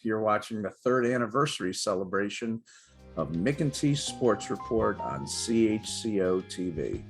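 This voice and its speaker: A man's voice: fairly low pitch, animated, moderate pace, slightly rough, soft background music. A man hosting a sports show, introducing segments and interviewing in a presenter's style.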